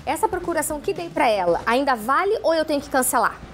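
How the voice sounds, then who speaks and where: A woman TV host reading out viewer questions in the first person, in a plain, steady manner. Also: high-pitched, quick pace, faint music behind.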